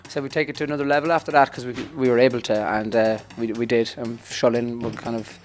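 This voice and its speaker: A man's voice: mid-range voice, little pitch movement. A young Irish man in his twenties, a band member chatting casually and joking in an interview, speaking into a handheld mic in a backstage room. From Ireland. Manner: joking, rambling, quick.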